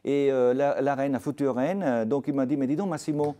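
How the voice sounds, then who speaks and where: An older man from Italy with an Italian accent, a restaurateur telling stories in a TV interview, halting with many fillers. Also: mid-range voice, steady tone, mellow. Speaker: restaurateur guest. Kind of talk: TV interview, anecdotal.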